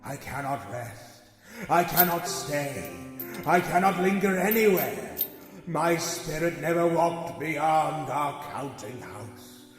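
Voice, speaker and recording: Man acting out a chained old ghost, wailing, drawn-out, slow, lively swoops in pitch, echoey hall reverb, faint music. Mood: weary. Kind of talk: radio play acting.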